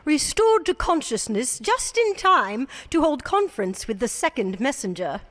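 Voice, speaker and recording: A woman gives scripted, dramatic narration for a radio drama. Her voice is high. It carries a long, hall-like reverb. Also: sing-song, normal speed, fairly bright tone.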